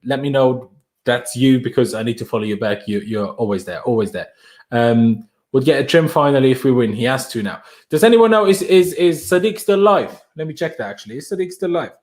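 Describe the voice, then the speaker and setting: A man's voice: medium pitch, brisk, darkish tone. A man from Austria hosting a casual late-night live stream, chatting loosely, reading out viewer comments and calling them bro.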